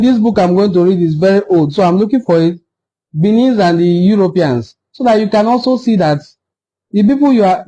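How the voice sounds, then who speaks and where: Assertive Nigerian man with a high-pitched voice. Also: warm tone, rough recording. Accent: Nigerian Igbo-language. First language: Igbo. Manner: assertive, argumentative, emphatic repetition. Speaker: video host on history. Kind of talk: impassioned informal history talk to camera.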